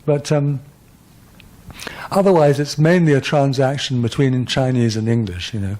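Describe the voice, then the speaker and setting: An older man in his seventies takes audience questions after a lecture. His voice is mellow and dark, and he talks in a loose, discursive way with a dry, self-mocking humour. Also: mid-range voice, normal speed. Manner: discursive, wry and self-deprecating. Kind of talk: conversational lecture Q&A.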